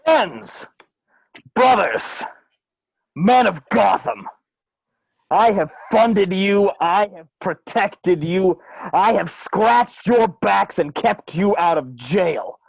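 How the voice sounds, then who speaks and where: Man acting a gravelly, slow, grandiose crime boss. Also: high voice, lively intonation, no echo, exaggerated voice, poor audio. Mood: commanding, menacing. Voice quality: gravelly, husky shouted delivery. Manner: slow, theatrical, declaiming. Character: menacing, grandiose adult male crime boss rallying goons. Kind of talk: amateur table reading of a film script. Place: home, on a group video call.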